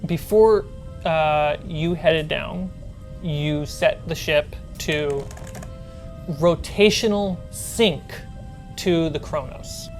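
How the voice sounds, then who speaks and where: A woman speaking as game master, narrating a tabletop role-playing game in a halting, thinking-aloud way. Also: deep voice. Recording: background music under the voice.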